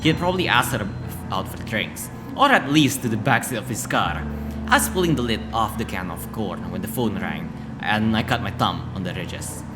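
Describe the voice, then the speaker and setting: A man with a fairly low voice reads a visual novel aloud as a gaming narrator. His pitch moves in a lively way and he stumbles over the text at times. Loud music runs underneath. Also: normal speed. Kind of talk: reading a visual novel aloud with casual asides.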